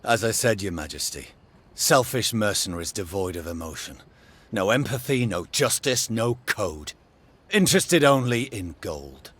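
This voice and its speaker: A man's voice: fairly low pitch, sing-song, moderate pace, a bit bright, breathy edge, heavily acted. A man playing a haughty, righteous knight in a fantasy game, with pompous, archaic formal diction. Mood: scornful. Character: haughty, righteous knight errant, scornful and courtly. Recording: background music under the dialogue.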